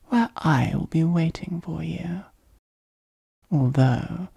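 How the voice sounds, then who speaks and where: Breathy, whispering possessive demon played by a performer. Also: mid-range voice, warm tone, heavily acted. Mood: calm, commanding. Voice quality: breathy, husky, much of it whispered. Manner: slow, formal, deliberate, intimate. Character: possessive demon ruler of hell, softly devoted. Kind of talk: ASMR roleplay monologue.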